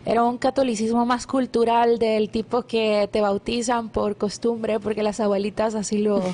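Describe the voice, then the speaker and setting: A woman's voice: on the high side, level tone, normal speed. Guatemalan woman sharing faith testimony. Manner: warm devout storytelling with many fillers and restarts. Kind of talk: casual testimony interview.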